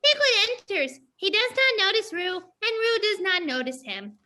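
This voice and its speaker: A woman's voice: very high-pitched, sing-song, normal speed, bright, forceful tone. A woman reading out stage directions as scripted narration for a play.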